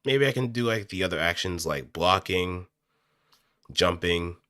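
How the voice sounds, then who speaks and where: A man talking casually and off the cuff as he explains voice acting, thinking aloud in an animated, demonstrative way. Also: low-pitched, a little slow, smooth, dry recording, clean studio sound. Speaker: amateur voice actor. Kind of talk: casual explanatory talk, improvised voice acting tutorial. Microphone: close studio microphone through audio interface.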